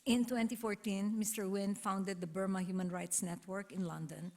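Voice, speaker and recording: A woman's voice: mid-range voice, fairly even intonation, moderate pace, darkish tone. A woman giving a formal introduction of a guest speaker at a conference, mostly reading from prepared notes. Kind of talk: formal scripted introduction with some ad-libs. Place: conference auditorium.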